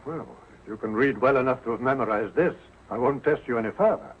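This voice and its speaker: An actor playing a kindly, courteous judge who speaks formally, in an early television sitcom. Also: male voice, medium pitch, fast talker, slightly rough. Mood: kindly, official. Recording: tinny, noisy old 1940s broadcast.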